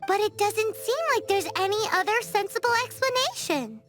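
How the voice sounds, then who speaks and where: A woman voicing a small floating fairy companion girl who frets and exclaims, over loud game music. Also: very high-pitched, expressive, moderate pace. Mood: curious. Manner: worried, exclaiming, talks about herself in third person.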